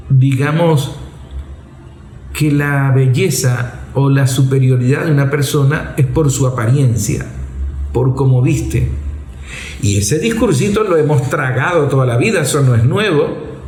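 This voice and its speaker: A man's voice: mid-range voice, a little mellow. A man giving explanatory opinion commentary in a didactic, emphatic way, posing rhetorical questions. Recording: roomy, echoing voice recording.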